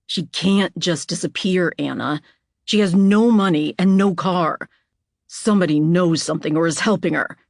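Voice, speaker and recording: A woman narrator playing an angry, menacing man who speaks in forceful, profane demands. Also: high-pitched, moderate pace, gravelly, no echo, studio quality. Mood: angry, demanding.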